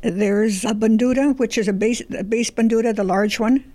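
A woman's voice: fairly high pitch, fairly even intonation, moderate pace, dark tone. A woman who is a museum board member, plainly explaining the exhibits in a matter-of-fact way.

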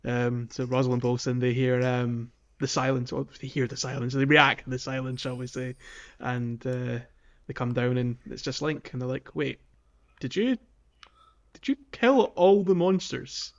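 A man's voice: mid-range voice, fairly even intonation, normal speed. A man co-hosting a gaming podcast in a casual, conversational way. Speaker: podcast co-host. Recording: dull, lo-fi voice recording.

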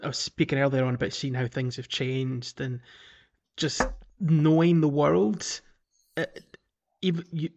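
A man's voice: on the high side, level tone, unhurried, vocal fry, darkish tone, dull audio. A man chatting casually as a gaming podcast co-host, hesitant and rambling, with frequent chuckles. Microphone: remote call.

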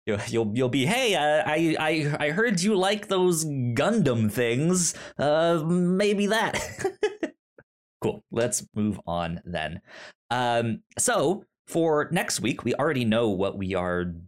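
Man, podcast host, slow pace, halting delivery, repeated words, casual chat. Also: on the high side, big pitch swings.

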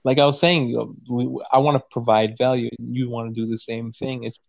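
A man's voice: medium pitch, little pitch movement, brisk, gravelly, no echo. Casual talk, 20s guy, swears a lot, fired up, dull dry recording. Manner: profane, emphatic, repeats "you know what I'm saying". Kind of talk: casual conversation.

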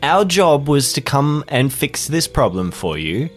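A man voicing an apologetic, consoling helper with gentle, hesitant reassurance in an improvised audio drama. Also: mid-range voice, big pitch swings, unhurried, soft background music, voice pushed up. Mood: insistent.

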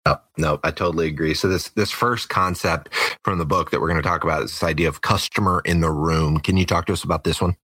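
A man who co-hosts and produces a podcast, speaking in a smooth, polished radio announcer style. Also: low voice, fairly even intonation, fast talker, sharp tone, no echo. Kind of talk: podcast interview.

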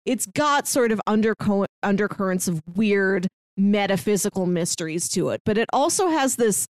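A woman's voice: mid-range voice, animated, normal speed, dry recording, clean studio sound. A woman co-hosting a podcast, chatting casually about a TV show, often laughing between phrases. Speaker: podcast co-host. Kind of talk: casual chat reviewing a TV show.

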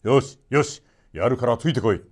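A man's voice: mid-range voice, lively intonation, brisk, a little mellow, natural voice. A man voicing a brave, hearty young folk hero in a storybook reading, firm and confident. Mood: commanding, proud.

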